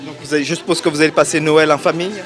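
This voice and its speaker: A man's voice: fairly high pitch, fairly even intonation, very fast. A man working as a reporter, putting short questions to people on the spot. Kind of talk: on-the-spot interviewing. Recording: loud music and crowd behind.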